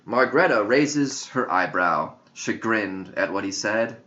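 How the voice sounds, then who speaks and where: A man reading a verse story as audiobook narration, with solemn, measured poetic phrasing. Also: fairly low pitch, lively intonation, pushed and bright. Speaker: author reading his own verse story. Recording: dull lo-fi audio.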